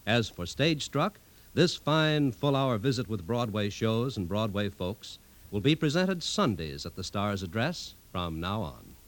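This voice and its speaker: A man's voice: lowish voice, very animated, measured pace, a little hiss or hum, poor audio. A man with an American accent reading scripted announcements in a 1950s network radio style.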